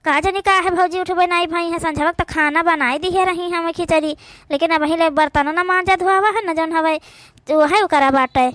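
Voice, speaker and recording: A woman's voice: very high voice, steady tone, moderate pace, fairly bright tone, no echo. A woman with an Awadhi accent acting out a rural family drama for a cartoon in colloquial, complaining village speech. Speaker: cartoon voice performer.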